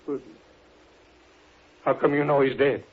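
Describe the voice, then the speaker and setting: An American actor playing a hard, stubborn older rancher with blunt, folksy frontier speech in a radio western. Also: male voice, medium pitch, fairly even intonation, unhurried, slightly rough. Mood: shocked, angry. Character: hard, hot-tempered older male rancher, proud and stubborn. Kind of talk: 1950s radio western acting. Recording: muffled, noisy old radio recording.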